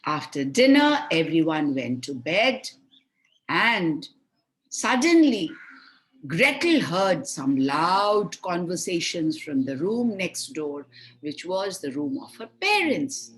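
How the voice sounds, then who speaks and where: A woman storyteller narrates a fairy tale for children. Her pace is slow and deliberate, and her pitch moves widely as she explains and stresses key words expressively. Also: big pitch swings, a bit bright, poor audio.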